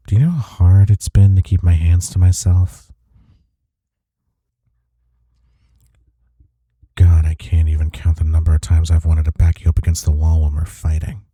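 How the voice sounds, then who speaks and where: A man acting a deep, husky, smitten office rival. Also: dark tone, dry recording, clean studio sound. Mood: teasing, smitten. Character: flustered, smitten male office rival confessing attraction. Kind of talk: intimate romantic audio roleplay acting.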